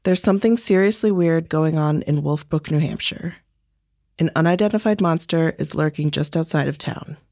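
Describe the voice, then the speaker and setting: A woman host reading scripted podcast announcements in a steady, clear way. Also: low voice, normal speed, no room sound, studio quality.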